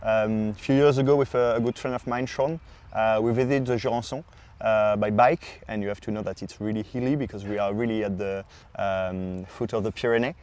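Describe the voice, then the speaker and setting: A man from France with a French accent, a non-native speaker, explaining wine informally as a podcast sommelier with hesitant, halting phrasing. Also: lowish voice, steady tone, darkish tone.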